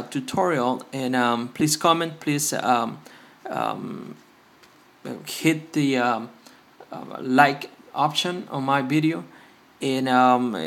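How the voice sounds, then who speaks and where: A man with as his talks casually to viewers through a hands-on tutorial. His English is halting and full of hesitations, delivered modestly at a middling pitch. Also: fairly bright tone. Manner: hesitant, halting, self-effacing non-native English. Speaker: repair tutorial host.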